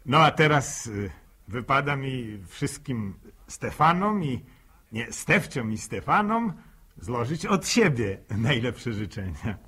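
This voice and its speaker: A man's voice: mid-range voice, sing-song, slow, a bit bright, breathy edge, full character voice. An actor playing a shy, grateful young village man in a 1960s radio drama, speaking hesitantly and repeating words. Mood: bashful, grateful. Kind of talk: 1960s radio acting, informal thank-you speech.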